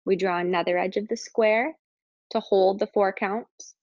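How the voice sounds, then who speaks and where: A woman leading a guided meditation, speaking calmly and slowly with pauses as she gives instructions. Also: mid-range voice, no room sound, dull audio. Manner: calm, slow, instructing with pauses.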